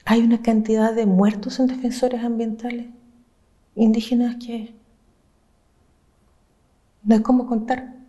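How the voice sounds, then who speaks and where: A woman from Chile, an activist speaking earnestly and with passion, laying out her points in measured, listed phrases. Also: fairly high pitch, flat delivery, dark tone, dull audio. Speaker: social movement activist. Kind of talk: reflective interview talk.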